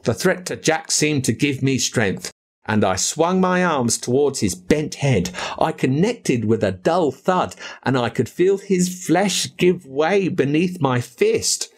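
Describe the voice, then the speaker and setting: A man with an Australian accent reading a spooky children's story aloud in a dramatic, drawn-out way. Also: fairly high pitch, animated, vocal fry, breathy edge.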